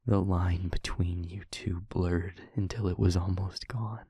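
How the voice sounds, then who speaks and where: A man playing a wounded, sorrowful demon in an intimate audio roleplay, speaking slowly and solemnly in a husky, breathy near-whisper with halting short phrases. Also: sits low, steady tone, mellow, no echo, studio quality, heavily acted. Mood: grave, sorrowful. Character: wounded, haunted male demon confessing with tender sorrow.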